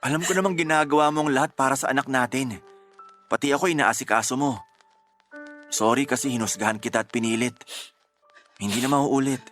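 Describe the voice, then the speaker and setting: Guy doing earnest young husband and doting dad in a radio drama, bright urgent voice, emotional, light music behind. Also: mid-range voice, fairly quick, pauses often, natural voice. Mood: apologetic. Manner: pleading, emphatic, emotional. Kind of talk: Tagalog radio drama acting.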